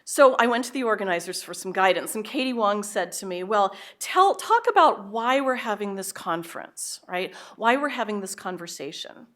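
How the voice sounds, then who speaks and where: A woman in her fifties, a professor, giving a conference talk in a lively, conversational way with a loud voice at a podium microphone in a hall. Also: medium pitch, normal speed, hardly any pauses. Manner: lively, conversational, self-aware. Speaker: keynote speaker, professor.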